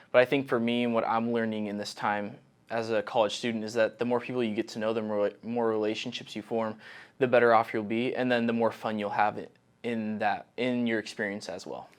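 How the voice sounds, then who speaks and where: Young guy, pretty flat tone, smooth clear voice, laid back. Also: mid-range voice. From Oregon, USA. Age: young adult. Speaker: college student.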